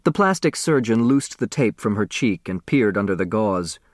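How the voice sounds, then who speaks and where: Male narrator for fiction: expressive storytelling, crisp phrasing, very dry sound. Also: fairly low pitch, moderate pace, no echo. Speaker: audiobook narrator.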